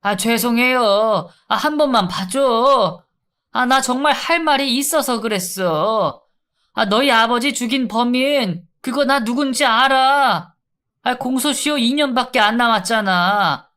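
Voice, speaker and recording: A man's voice: very high-pitched, unhurried, pushed and bright, smooth, pitched above the performer's own voice, exaggerated voice. A man voicing a brash male inmate in withdrawal who taunts and then begs. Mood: pleading. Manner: taunting, then pleading and whining. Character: brash whiny male inmate in withdrawal, narrator's old school bully.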